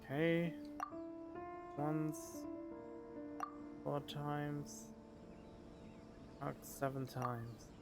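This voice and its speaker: A man's voice: on the high side, drawn-out words, long pauses, music in the background. A young man giving casual, informal let's play commentary, half talking to himself as he streams a game. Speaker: gaming streamer.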